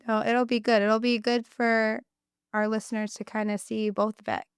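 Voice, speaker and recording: A woman's voice: on the high side, flat delivery, moderate pace, clear, resonant tone, no echo, studio quality. A young adult woman co-hosting a casual podcast, sounding congested from a cold, enthusiastic and rambling. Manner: enthusiastic, rambling, self-correcting. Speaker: podcast co-host, speech-language pathologist. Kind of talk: casual chat.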